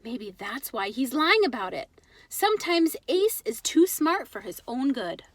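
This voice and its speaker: A woman with a high voice reads a children's book aloud. Her delivery is animated, swinging widely in pitch, and the sound is dry and close. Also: measured pace, no room sound. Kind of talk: animated read-aloud storytelling.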